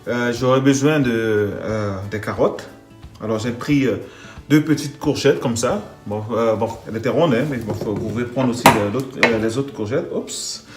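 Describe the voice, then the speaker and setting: A man's voice: mid-range voice, normal speed, faint music behind. A man from the Netherlands with a Dutch accent, speaking French, talking through a home cooking video with many hesitations and restarts. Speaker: home cook.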